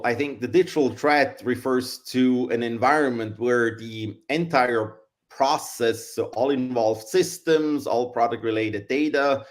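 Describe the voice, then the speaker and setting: A middle-aged man speaks at a steady middle pitch. He answers in a measured, thoughtful way, often pausing on fillers and restarting his sentences. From Switzerland. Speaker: guest, engineering consultant. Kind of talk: conversational interview answers.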